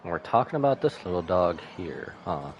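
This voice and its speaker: A man's voice: sits low, very animated, normal speed, dull audio, slight background noise. A man livestreaming a game, giving playful commentary and reading dialogue aloud. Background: faint game music.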